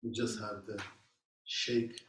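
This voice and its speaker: A man's voice: lowish voice, level tone, slow, a bit bright, a little hiss or hum. A man from Morocco, speaking as guest teacher to a class in simple, storytelling phrases. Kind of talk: informal talk to a class. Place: meditation hall. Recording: echoey hall, mic far off.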